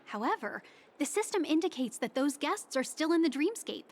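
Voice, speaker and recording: A courteous young receptionist in a video game. Her voice is high and formal, apologetic and easily flustered. Music is faint behind her. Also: big pitch swings, moderate pace. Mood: polite.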